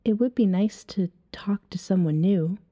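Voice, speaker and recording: A woman playing a flirty, self-assured female vampire in an ASMR roleplay, teasing a stranger at a bar in a soft-spoken, breathy way. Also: medium pitch, unhurried, no echo, highs cut off, natural voice. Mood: coolly coaxing. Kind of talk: ASMR roleplay, acted one-sided dialogue.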